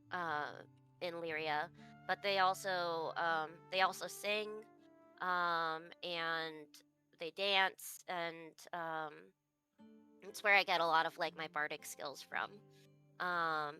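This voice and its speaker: A woman's voice: deep voice, steady tone, slow pace, sharp tone, faint music behind. A young woman playing a tabletop game, speaking in character as her bard, hesitant and full of fillers and restarts. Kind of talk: in-character roleplay.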